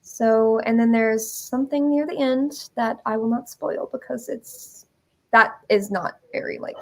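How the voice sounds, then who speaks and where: A woman's voice: on the high side, unhurried, a little mellow. A woman telling a story in casual, informal chat as an interview guest, with a giggly manner. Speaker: guest presenting a musical.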